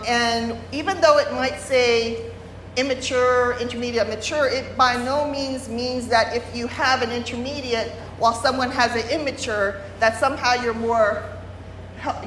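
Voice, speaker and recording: A woman's voice: on the high side, fairly even intonation, moderate pace, fairly bright tone, slight background noise. A woman presenting a workshop lecture at a retreat, explaining step by step without a microphone. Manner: explanatory, many fillers and restarts. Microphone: no microphone, room recording. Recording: roomy hall, unamplified voice.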